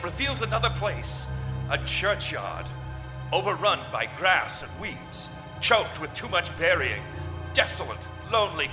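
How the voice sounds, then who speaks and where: A man narrating a ghost tale in a staged radio play, as a solemn, ominous storyteller. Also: high voice. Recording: long reverberant hall, music behind.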